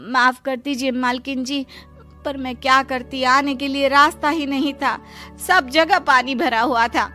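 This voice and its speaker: A woman's voice: high voice, fairly even intonation, unhurried, pushed and bright, faint music behind, voice pushed up. A woman playing a humble, pleading poor maidservant wife in a dramatized story, speaking deferentially. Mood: apologetic.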